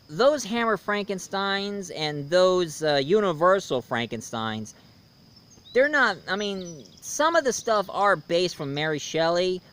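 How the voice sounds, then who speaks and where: A man giving a casual, unscripted movie review as an online host, rambling and halting as he goes. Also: on the high side, fairly bright tone, clear, resonant tone. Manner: rambling, halting, hesitant delivery.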